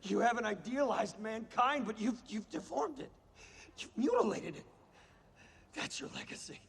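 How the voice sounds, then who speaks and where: High, very raspy outraged hero. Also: male voice, lively intonation, moderate pace, full character voice. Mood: anguished fury. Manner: anguished, accusing. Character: outraged costumed hero, bitterly accusing. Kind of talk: film dialogue.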